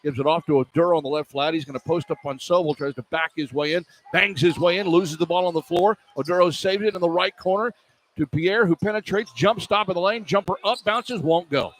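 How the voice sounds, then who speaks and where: Male sports announcer, higher voice, live radio basketball commentary, clipped short phrases, faint arena noise behind. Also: a little fast.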